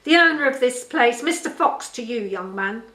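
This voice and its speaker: A woman's voice: fairly high pitch, animated, normal speed, bright, forceful tone, reverberant. A woman reading the part of a surly, sneering farm boss in his late thirties from a story, with a curt, barking manner. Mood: firm, hostile.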